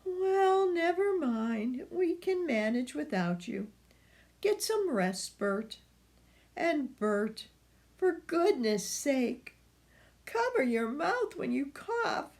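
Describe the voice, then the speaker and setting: A woman reading a storybook as a fretful, brisk, motherly old grandma who scolds and fusses. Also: high-pitched, big pitch swings, darkish tone, pitched above the performer's own voice, exaggerated voice. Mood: fretting, bossy. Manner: emphatic, exclaiming, fussing.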